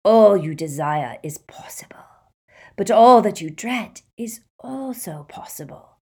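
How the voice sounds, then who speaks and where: A woman voicing an evil male spirit trapped in a locket, talking in a slow, cruel, hissing whisper. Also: medium pitch, expressive, a little mellow, husky. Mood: menacing.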